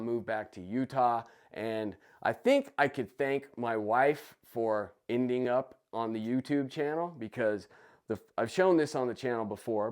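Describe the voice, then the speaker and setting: Raspy, congested man vlogging. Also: medium pitch, darkish tone. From United States. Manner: enthusiastic, chatty. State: raspy and nasal from a cold. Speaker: gun channel host. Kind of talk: casual personal vlog. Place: basement room.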